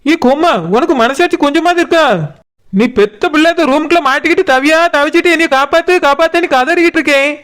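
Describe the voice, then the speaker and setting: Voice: sits high, fast talker, fairly bright tone. A performer voicing an indignant, sarcastic village daughter in a comic cartoon, with a Kanyakumari Tamil accent. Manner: scolding, sarcastic. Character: indignant village daughter, scolding her mother.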